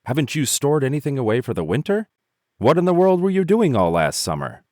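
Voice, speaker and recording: A man's voice: mid-range voice, sing-song, a little fast, darkish tone, no room sound, clean studio sound, voice pushed up, lightly acted. A man voicing a family of scornful ants in a storybook reading, scolding sharply.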